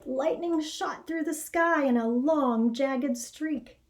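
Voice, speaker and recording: A middle-aged woman reading a children's story aloud in an expressive, measured storytelling manner. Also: high voice. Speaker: storybook reader. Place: small indoor classroom or office.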